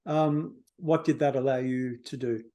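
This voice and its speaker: A man's voice: medium pitch, steady tone, measured pace, warm tone. A man asking casual interview questions as a music magazine editor on a video call.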